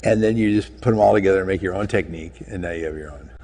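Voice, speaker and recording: A man guesting on a talk show, talking in a laid-back, halting, meandering way. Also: sits low, warm tone. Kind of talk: casual chat.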